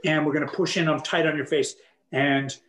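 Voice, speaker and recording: A man co-hosting a casual podcast chat, telling animated stories and acting out the lines of the people in them. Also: fairly high pitch, brisk, echoey room. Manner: animated storyteller who acts out quoted dialogue. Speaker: actor and podcast co-host.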